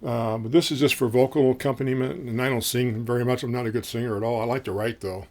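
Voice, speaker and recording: A man in his fifties with a low voice, reviewing a guitar in casual, rambling talk full of ums, recorded in a small home studio. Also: steady tone, fast talker, darkish tone. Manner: rambling, folksy, many ums and uhs.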